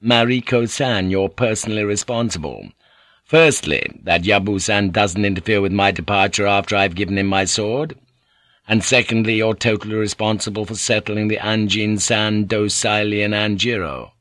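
A man voicing a slow, stern warlord. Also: fairly low pitch, steady tone, a bit bright, no room sound, studio quality, close to an everyday voice. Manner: slow, measured, authoritative. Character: commanding older Japanese warlord, calm and stern. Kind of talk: audiobook character voice.

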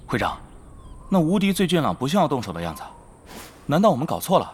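一名男演员在广播剧中饰演忠心、爱猜测的公会副手，说话恭敬而生动。. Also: male voice, a little high, very animated, fast, a little hiss or hum. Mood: conspiratorial. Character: loyal, eager male guild lieutenant, speculative sidekick. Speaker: voice actor. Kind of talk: Chinese audio drama acting.

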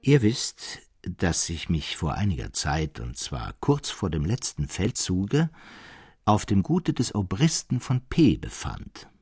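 A man's voice: low voice, big pitch swings, slow pace, darkish tone, husky, dry recording, studio quality, exaggerated voice. An actor playing a Romantic literary storyteller who tells scripted ghost tales to his friends. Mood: animated storytelling.

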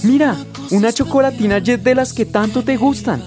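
A man's voice: extremely high pitch, normal speed. A man acting a shallow, freeloading young boyfriend in a radio comedy sketch, with a Colombian accent. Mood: cheesy romantic. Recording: music behind the voice.

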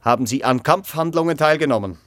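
An actor playing a stern official in a radio drama, questioning formally, curtly and insistently. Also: male voice, on the high side, animated, quick pace, dry recording, close to an everyday voice. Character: stern, probing official interrogating a job applicant.

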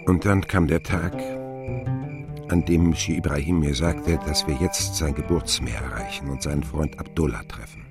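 An actor playing a grown man who recalls his boyhood in measured, reflective radio drama narration. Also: very deep voice, creaky, over music. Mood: reflective, grave.

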